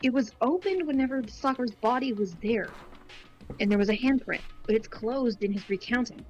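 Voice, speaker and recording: Casting: female let's play partner, casual chat, higher voice, lo-fi dull mic. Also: moderate pace, soft background music. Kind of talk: casual game commentary chat.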